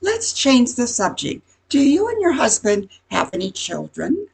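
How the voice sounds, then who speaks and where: An older woman playing a nosy, gossiping neighborhood busybody in an amateur radio comedy, chatty and insinuating with a husky, creaky voice. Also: fairly high pitch, wildly swooping pitch, a little slow, exaggerated voice. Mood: confiding, insinuating.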